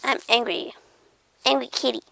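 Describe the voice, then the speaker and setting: A woman's voice: a little high, steady tone, moderate pace, gravelly, pushed and bright, no echo, exaggerated voice, rough recording. A woman voicing a childish, moody little house cat, whining and repeating itself as it narrates its thoughts in a comic pet voice-over. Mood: sulky, wary. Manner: whiny, repetitive, babyish self-narration with meows.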